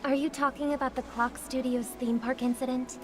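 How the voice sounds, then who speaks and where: A polite, gentle young game idol voiced by a woman. Her voice is high and courteous, set against clear ambient noise. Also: little pitch movement, fairly quick, lightly acted. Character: gentle, polite famous young female singer. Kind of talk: video game voice acting.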